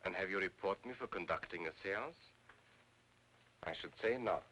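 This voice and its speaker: An actor playing a formal, haughty spiritualist in a film noir. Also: male voice, deep voice, normal speed, fairly bright tone. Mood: haughty, evasive. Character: smooth-mannered, haughty male spiritualist medium, evasive and formal. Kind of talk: 1940s film noir acting. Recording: faintly noisy old film soundtrack.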